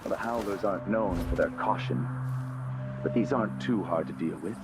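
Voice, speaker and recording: A man playing a confident, methodical religious soldier in a video game, measured and matter-of-fact. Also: on the low side, loud music behind the voice, natural voice. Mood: focused. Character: confident, methodical male overseer trained in field tactics. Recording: loud noise and ambience behind.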